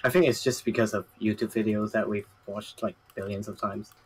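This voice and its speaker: A man's voice: on the low side, fairly even intonation, a little fast, darkish tone, slightly rough, low-quality recording. A man co-hosting a casual livestream, dropping dry, teasing one-liners. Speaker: co-host.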